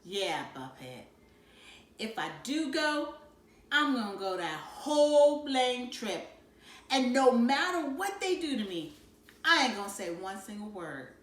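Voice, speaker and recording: A swaggering teenage boy from a storybook, voiced by a woman reader, cocky and defiant. He talks in street slang with a lively, bouncing pitch and bullies like a big brother. The room rings with echo. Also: measured pace. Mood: boastful, scheming.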